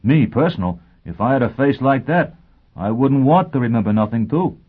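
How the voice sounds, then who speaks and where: A man's voice: mid-range voice, animated, moderate pace, slightly rough, pitched above the performer's own voice. A man voicing a little army sergeant, folksy and blunt, with chummy, uneducated, plain-spoken talk. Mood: chatty, dismissive. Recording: thin, phone-like band-limited sound.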